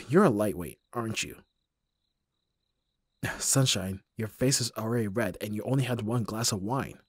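A man playing an abrasive young bodyguard who is secretly soft, in an ASMR roleplay audio drama, with gruff, protective exasperation. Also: fairly low pitch, a little slow, pauses often, no echo. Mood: exasperated, stern.

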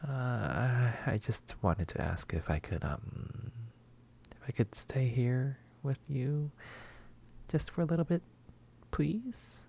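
A man acting a shy, polite, flustered neighbor in a roleplay ASMR, hesitant, apologetic and breathy. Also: medium pitch, expressive, takes it slowly, full character voice. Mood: nervous pleading. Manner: hesitant, soft-spoken, apologetic, breathy sighs and whispers.

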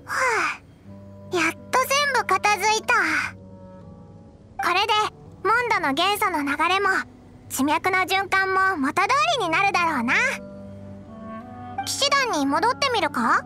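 A curious little fairy sidekick from an anime game. The voice is squeaky, childlike and very bright. Faint music plays behind it. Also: female voice, animated, measured pace, pauses often, exaggerated voice. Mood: relieved. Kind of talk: Japanese anime game dialogue.

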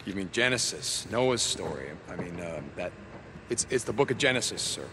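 An actor playing a gruff American soldier recruit in a video game, halting, deferential and understated. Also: male voice, low-pitched, expressive, long reverb tail, noisy background. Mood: hesitant. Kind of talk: video game dramatic acting.

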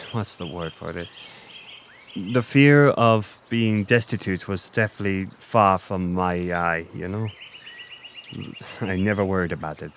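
A man playing a flirty, boastful Irish innkeeper, chatting in a meandering, intimate roleplay monologue with thoughtful pauses. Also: deep voice, a bit bright, slight background noise. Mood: chatty, reminiscing.

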